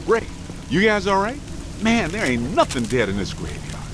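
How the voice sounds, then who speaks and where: Easygoing ghost hunter, high lively voice. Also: male voice, normal speed, background noise. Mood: casual, practical. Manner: colloquial, wry. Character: easygoing, wisecracking working-man ghost hunter, excitable under pressure. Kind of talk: video game dialogue.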